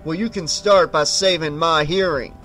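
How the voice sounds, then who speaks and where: A man's voice: fairly high pitch, normal speed, a bit bright, soft background music, natural voice. Man playing a growling, stern police detective. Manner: growling, commanding, sarcastic. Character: gruff, stern male police detective, sarcastic.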